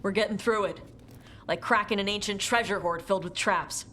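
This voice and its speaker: A woman playing a blunt, brash young warrior with fire magic, talking gruffly and casually in a video game cutscene. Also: medium pitch, a little fast, bright, forceful tone, soft background music, lightly acted. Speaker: party companion.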